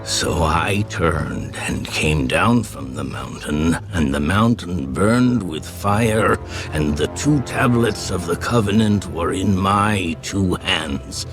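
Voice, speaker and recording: An actor playing a solemn elderly prophet who rebukes his people in a grave, deliberate, oratorical way for a dramatized audio Bible. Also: low voice, exaggerated voice. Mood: grieved, reproving.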